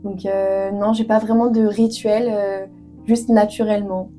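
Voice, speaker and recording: A woman's voice: mid-range voice, little pitch movement, a little slow, warm tone, faint music behind. A young woman in her twenties from France, answering interview questions earnestly with many hesitations and fillers. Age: 20s. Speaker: author guest.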